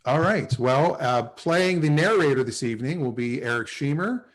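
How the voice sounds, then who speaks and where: A man's voice: medium pitch, animated, moderate pace, low-quality recording. A man in his forties casually hosting and introducing people on a video call livestream. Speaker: host and writer.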